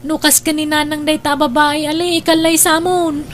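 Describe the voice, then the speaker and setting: A protective, teasing older sister acted by a woman in an Ilocano family drama. Her voice is very high, with an Ilocano accent. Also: level tone, normal speed, slight background noise, close to an everyday voice. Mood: teasing, probing. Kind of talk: Ilocano radio-style drama acting.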